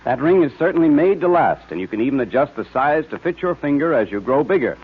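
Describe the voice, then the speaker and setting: A man announcing and pitching for a sponsor on 1940s radio in a hearty, emphatic way. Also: very animated, fast talker, husky. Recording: muffled vintage radio recording.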